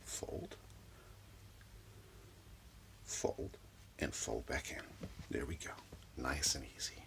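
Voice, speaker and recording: A man with an extremely deep, crackling voice gives a soft ASMR healing session, often dropping into a whisper. He murmurs slowly and soothingly in short, repeated phrases, with a breathy edge. It is recorded close up in a small room at home. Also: fairly even intonation. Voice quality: whispery, breathy, low and gravelly. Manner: soothing, repetitive, unhurried, murmuring. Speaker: ASMR performer giving a reiki session. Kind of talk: soft-spoken ASMR role of energy healer.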